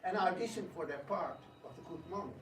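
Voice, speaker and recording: A man giving an informal spiritual talk and taking questions, telling stories in an animated way with hesitant repetitions. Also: sits high, a little fast. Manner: animated storyteller, acts out quotes, hesitant repetitions. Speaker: devotional speaker and drama director taking questions. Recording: echoey room, distant mic, faint noise.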